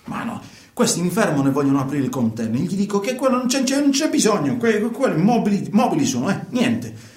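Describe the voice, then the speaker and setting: Guilty trucker in a radio play, southern italian accent, rambling excuses, repeats himself, roomy echo. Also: male voice, high-pitched, sing-song, a little mellow. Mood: agitated, indignant. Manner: halting, repetitive, defensive, colloquial. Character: guilty truck driver confessing, self-justifying, agitated, coarse. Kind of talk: radio theatre monologue, colloquial confession. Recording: echoey room.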